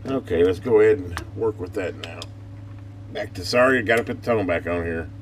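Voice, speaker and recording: A man's voice: low voice, fairly quick. A man giving a casual, folksy hands-on bench demonstration as host of an electronics repair channel. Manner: folksy, informal talk. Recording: distant mic, noisy with loud hum.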